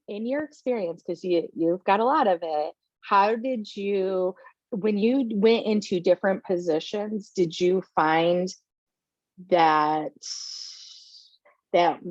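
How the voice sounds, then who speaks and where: A chatty woman hosting a podcast, winding through her questions with plenty of "um"s and "you know"s. Her voice sits at a middle pitch. The audio is dry and dull, like a remote call. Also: a little mellow, no echo. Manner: chatty, rambling questions with fillers. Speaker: podcast host. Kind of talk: casual interview chat.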